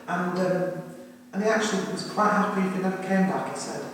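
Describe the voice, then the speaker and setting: A woman's voice: fairly low pitch, fairly quick. A middle-aged woman gives an informal, affectionate family eulogy in a chatty, anecdotal way. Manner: chatty, affectionate, turning to relatives for confirmation. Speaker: family member giving a funeral eulogy. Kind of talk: informal spoken tribute with anecdotes. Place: crematorium chapel. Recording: very echoey hall, distant mic, faint noise.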